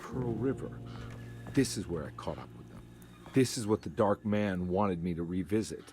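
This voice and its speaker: A low-pitched, world-weary detective in a noir video game, brooding and heavy with guilt. He speaks haltingly, with music behind his deep voice. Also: lively intonation, a little mellow. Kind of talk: noir narration and dramatic game dialogue.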